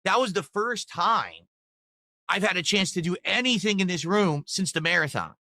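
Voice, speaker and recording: A man's voice: high-pitched, expressive, moderate pace, pushed and bright. A man talking casually on his livestream, rambling defensively and reading out viewer tips. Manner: rambling, defensive, self-deprecating. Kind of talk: casual stream talk.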